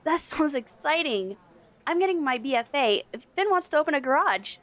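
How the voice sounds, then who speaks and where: Young female student, played by an actress: eager, chatty, high-pitched with big swings, audio drama. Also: expressive, moderate pace, a bit bright. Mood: friendly, curious. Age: young adult. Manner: chatty, friendly. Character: friendly, eager young woman art student.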